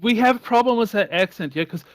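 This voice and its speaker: A man with a foreign accent bantering in broken, halting English, repeating words and bossing the other person around. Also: high voice, no room sound. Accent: foreign, non-native English. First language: not English. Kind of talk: bantering improvised comic chat.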